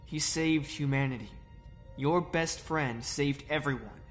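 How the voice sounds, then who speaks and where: Guy voicing a steady earnest soldier lead, calm and patient, faint music. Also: on the high side, unhurried, close to an everyday voice. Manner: calm, conciliatory. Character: earnest young soldier leader, man.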